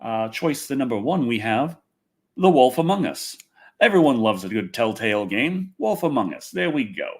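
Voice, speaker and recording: A man with a husky voice chats casually as a livestream host. His pitch jumps around a great deal as he rambles excitably, repeating phrases and swearing freely. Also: moderate pace. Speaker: livestream host and film reviewer.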